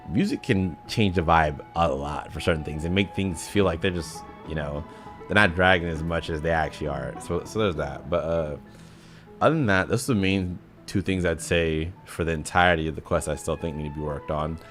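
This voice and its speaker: Low-voiced young guy, blunt and slangy. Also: a little fast, a bit bright, faint music behind. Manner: slangy, blunt, profane, says bro often. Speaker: gaming reaction video creator. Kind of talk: casual reaction commentary.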